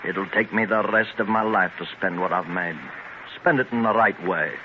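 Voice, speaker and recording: An actor playing a determined, idealistic older Scottish industrialist in 1930s radio drama style, speaking with plain, emphatic conviction. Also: male voice, fairly low pitch, normal speed, close to an everyday voice. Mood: earnest, idealistic. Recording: muffled, noisy 1930s radio transcription.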